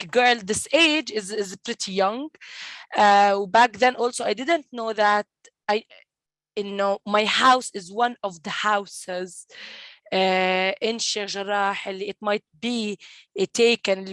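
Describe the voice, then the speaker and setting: A woman's voice: mid-range voice, a bit bright, no room sound. Woman, 20s, Palestinian Arabic accent, earnest storytelling, stumbles and repeats words, dead dry sound. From East Jerusalem. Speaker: guest, community manager at a peace group. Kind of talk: personal storytelling in a panel talk.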